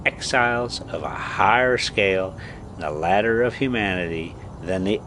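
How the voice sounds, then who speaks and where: A man reading a story aloud as an amateur host, halting and stumbling over words now and then, with casual delivery. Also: deep voice, vocal fry, background noise. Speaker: host reading stories aloud for a pet video channel.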